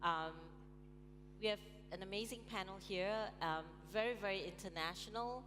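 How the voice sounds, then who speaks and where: A woman's voice: medium pitch, normal speed. A woman moderating a conference panel on stage, chatty, with frequent ums and light laughs. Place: large conference hall on stage. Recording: reverberant hall, music playing.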